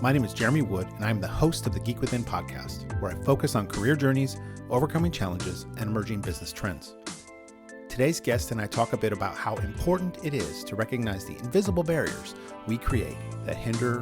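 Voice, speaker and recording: A man's voice: medium pitch, sing-song, brisk, music in the background. A man hosting a podcast, introducing his guest with a few small stumbles. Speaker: podcast host.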